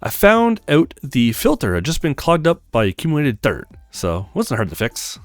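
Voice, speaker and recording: A man acts out a young female repair worker from a visual novel, frank, friendly and teasing. The pitch leaps up and down a lot, and the sound is dry and close, with faint music behind. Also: huge pitch swings, moderate pace, no echo. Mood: breezy. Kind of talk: acted visual novel read-aloud.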